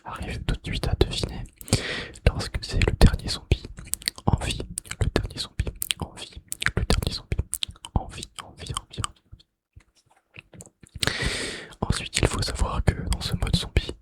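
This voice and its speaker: Whispering young man, breathy ASMR. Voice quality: breathy unvoiced whisper with mouth sounds. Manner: repeats phrases slowly for effect. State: slightly out of breath. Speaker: ASMR video creator. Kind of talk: whispered ASMR gaming facts. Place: bedroom.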